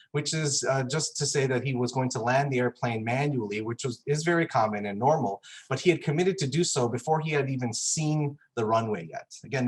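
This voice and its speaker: A man from Chicago giving a prepared presentation at a church forum, earnest and measured with occasional fillers. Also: mid-range voice, slightly rough, poor audio. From Chicago, United States.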